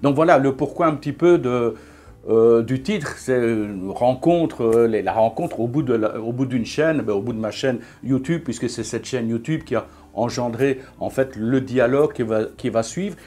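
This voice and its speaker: A man's voice: mid-range voice, a little mellow. A man, talking casually to camera in a vlog, hesitant, with many fillers and restarts. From Belgium. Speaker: vlogger.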